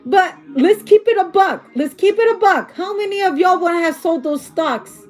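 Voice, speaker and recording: A woman's voice: very high voice, expressive, normal speed, faint music behind. A woman doing a casual tarot reading who is losing her voice, talking bluntly and swearing freely. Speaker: tarot reader.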